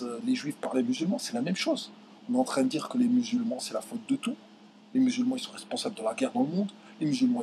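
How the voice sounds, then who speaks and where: Indignant young man ranting, voice spiking high. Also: a little hiss or hum, low-quality recording. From France. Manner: indignant, voice jumps high when outraged, many restarts. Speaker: video commentator. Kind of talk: heated direct-to-camera rant.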